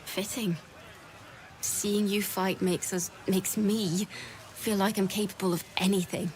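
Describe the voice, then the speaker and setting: A woman's voice: mid-range voice, steady tone, a little slow, darkish tone, slight background noise, close to an everyday voice. A woman voicing a tipsy, admiring bard in a fantasy video game, musing and a little hesitant. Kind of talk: video game dramatic acting.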